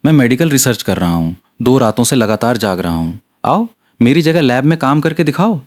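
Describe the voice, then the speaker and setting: A man's voice: mid-range voice, sing-song, fairly quick, darkish tone, lightly acted. A man voicing incredulous, indignant live-chat commenters in an audio story, exclamatory and sarcastic.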